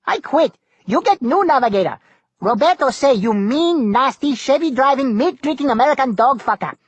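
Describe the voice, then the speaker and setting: A bossy, hot-tempered navigator who scolds in broken English, pitched high and loud, as a reader puts him on. The voice has a husky edge and sits dry and close, with no room around it. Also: animated, normal speed, no room sound. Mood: indignant. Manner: broken English, shouting in bursts.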